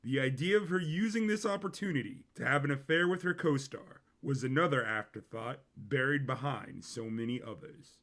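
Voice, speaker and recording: A man's voice: medium pitch, moderate pace, hardly any pauses, a bit bright. A man reading a scripted story as a dramatic narrator.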